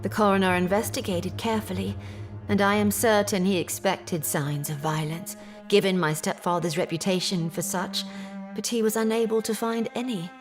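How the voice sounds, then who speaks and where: An actress playing a troubled young gentlewoman in an audio drama, telling her story in a measured, formal, earnest way. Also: medium pitch, fairly even intonation, faint music behind, close to an everyday voice. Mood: sorrowful, earnest.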